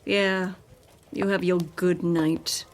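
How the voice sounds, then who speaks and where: A woman's voice: lowish voice, level tone, slow, background noise. A woman acting a discontented, self-absorbed wife with a biting, emphatic delivery in a radio drama. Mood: scornful. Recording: prominent music behind the voice.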